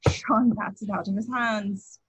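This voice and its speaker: Female narrator for screenplay action lines, medium pitch with a husky, unpolished tone. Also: steady tone, a little slow, fairly bright tone, rough recording.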